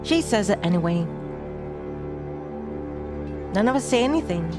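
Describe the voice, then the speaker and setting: A woman reading a visual novel aloud as a streamer, narrating in measured phrases with casual commentary. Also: mid-range voice, expressive. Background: music.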